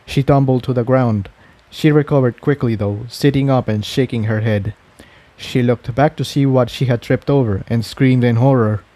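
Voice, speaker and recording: A man narrates a scripted fantasy story. His pitch sits in the middle of the male range, and his tone is mellow and relaxed. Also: moderate pace, poor audio. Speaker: fan fiction narrator.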